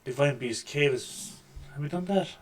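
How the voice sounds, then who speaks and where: A man with a deep voice hosting a casual let's-play, making wry, joking remarks over the gameplay at a desk microphone. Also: normal speed, a little hiss or hum. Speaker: gaming channel host. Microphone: desk microphone with mixer.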